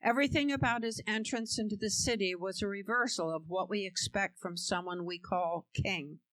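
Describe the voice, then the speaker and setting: A woman's voice: mid-range voice, moderate pace, fairly bright tone, no echo, low-quality recording. A woman giving an emphatic, rhythmic scripted reading. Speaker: reader.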